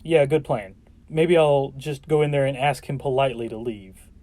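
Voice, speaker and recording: A man's voice: medium pitch, normal speed, dark tone, no echo, close to an everyday voice. An actor playing a sarcastic, skeptical man, a reluctant chosen one, in an audio drama, talking with exasperation. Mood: sarcastic, exasperated. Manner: sardonic, exasperated.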